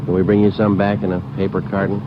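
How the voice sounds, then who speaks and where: A man playing a terse, deadpan police detective in a 1940s radio drama, speaking in clipped lines. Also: low-pitched, quick pace, noisy background, natural voice. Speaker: detective narrator. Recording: dull old radio broadcast with music.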